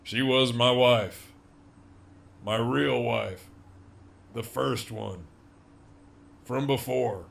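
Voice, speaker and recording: A man playing a cocky, sardonic middle-aged captive villain in an audio drama, with a gravelly, teasing drawl. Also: medium pitch, drawn-out words, long pauses, fairly bright tone, slight background noise, exaggerated voice. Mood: subdued, grieving. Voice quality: gravelly, creaky.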